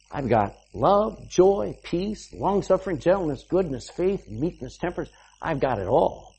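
Animated, husky-voiced preacher. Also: mid-range voice. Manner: emphatic, rhetorical questions, frequent "amen". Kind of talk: sermon.

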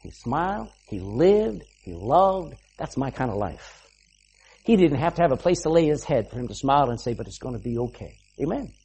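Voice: medium pitch, sing-song, moderate pace, warm tone, husky. A preacher giving an emphatic sermon, asking the listeners rhetorical questions and punctuating points with "amen".

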